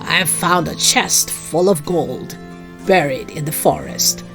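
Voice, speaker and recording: A woman's voice: low-pitched, takes it slowly, music in the background. A woman reading the part of a sly old peasant husband in a storybook, coaxing and confiding.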